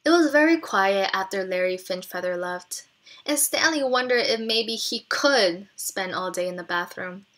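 Female teacher, bright clear-cut tone, expressive picture-book read-aloud with explanatory asides. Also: medium pitch, normal speed. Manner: expressive, explains to young listeners with check-in questions.

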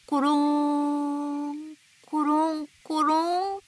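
A woman playing a gentle, motherly owl teacher who reads picture books to toddlers with playful sound words. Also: sits high, level tone, drawn-out words. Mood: playful storytelling. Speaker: picture book storyteller for babies. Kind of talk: picture book read-aloud for toddlers. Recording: faint background noise of nature. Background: nature sounds.

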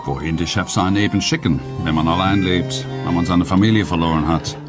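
An actor playing a dry, melancholy British commander in a German radio drama. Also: male voice, deep voice, brisk, music in the background, lightly acted. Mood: bleak, philosophical.

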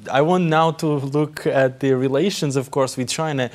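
A man's voice: mid-range voice, steady tone, moderate pace. A man hosting a television talk show, asking long, winding questions full of fillers.

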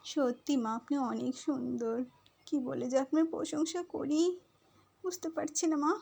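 Woman as high-voiced, plaintive young daughter-in-law. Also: steady tone, measured pace, gravelly, warm tone. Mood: flattering. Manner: plaintive, coaxing. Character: young daughter-in-law, pleading, vain, flattering, hard-working. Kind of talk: amateur cartoon voicing.

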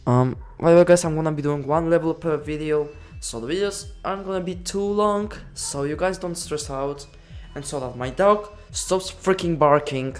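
A man giving casual commentary over a management game, excitable, swearing and yelling orders at his units. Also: a little high, moderate pace, faint music behind. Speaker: gaming channel host.

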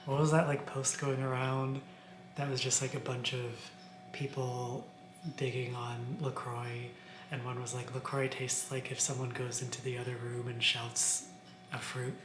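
Very low-voiced speaker in an echoey room. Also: female voice, level tone, normal speed. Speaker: vlogger's partner. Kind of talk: casual off-the-cuff chat. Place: home kitchen, indoors. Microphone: camera a few feet away. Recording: echoey room, off-mic, clear background noise.

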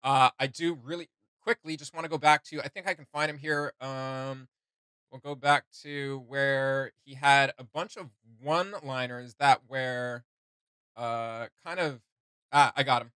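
A young man with a bright, forceful voice that sits a little high. He chats casually as a reaction video host, recorded dry and close in a small room. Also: steady tone, a little slow, clean tone, dry recording. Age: young adult. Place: small indoor room with gaming setup.

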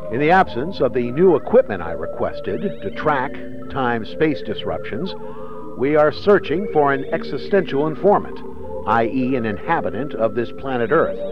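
A man's voice: mid-range voice, lightly acted. An actor playing an anxious, exasperated male alien agent, officious and sarcastic, dictating a report in a radio drama. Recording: dull sound with music behind.

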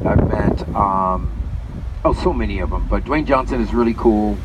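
A man with a loud voice giving a live guided tour, chatting to the group and addressing them directly. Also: lowish voice, normal speed. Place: outdoors on a moving tour vehicle. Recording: distant, muffled mic with loud wind noise.

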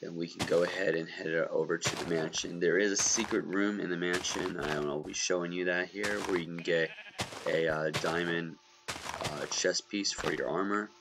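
A man recovering from illness and a bit hoarse, giving a relaxed step-by-step game walkthrough with lots of ums. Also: low voice, moderate pace, noisy background. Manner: relaxed, many ums and filler restarts. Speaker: gaming guide creator. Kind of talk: walkthrough commentary over gameplay. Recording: music playing behind.

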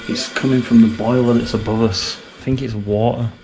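A man's voice: medium pitch, fairly even intonation, a little fast, roomy sound, rough recording. A man with a Northern English accent, joking playfully while exploring an abandoned house. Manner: playful and jokey. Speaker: urban explorer. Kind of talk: casual banter while exploring.

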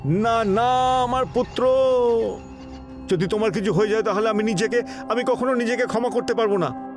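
An old priest, voiced by a man, warns gravely in a raised, emphatic voice. He sounds earnest and anxious in horror-cartoon style, with faint music underneath. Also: high-pitched, normal speed, lightly acted. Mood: anxious pleading.

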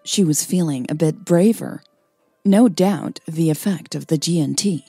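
A woman's voice: low voice, unhurried, warm tone, breathy edge, no echo, soft background music. A woman hosting a podcast and narrating a romance story, sounding a little tired. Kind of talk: scripted romance narration and casual podcast outro.

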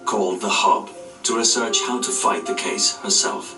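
A man's voice: lowish voice, moderate pace, over music. A man reading scripted narration for a television documentary. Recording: roomy, distant re-recording off a television.

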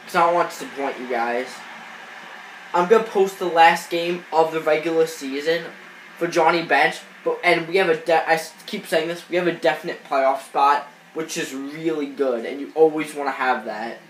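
A man's voice: a little high, moderate pace, fairly bright tone. Sniffly young man narrating gameplay. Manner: chatty, rambling, many fillers and restarts. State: congested with a cold, sniffling. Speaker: gaming channel host. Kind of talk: casual gameplay commentary. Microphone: off-screen voice-over on gameplay footage. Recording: echoey room with faint noise.

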